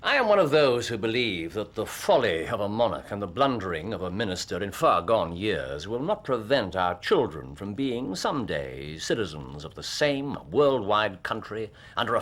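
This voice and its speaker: An actor playing a brilliant, urbane English detective in a period radio drama, speaking precisely and theatrically. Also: male voice, on the low side, fairly bright tone. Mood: courteous, expansive.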